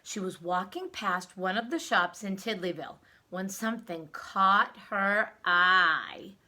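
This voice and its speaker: A woman reading a story aloud to young children, expressive, with dramatic emphasis and questions to the listener. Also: mid-range voice, a little slow.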